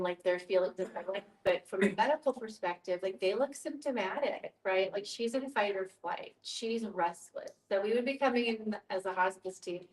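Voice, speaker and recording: Distant, echoey woman explaining informally. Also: mid-range voice. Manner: explanatory, frequent "like" and "right". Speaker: guest facilitator, medical social worker. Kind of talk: casual explanation in group discussion. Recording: echoey room, distant from mic.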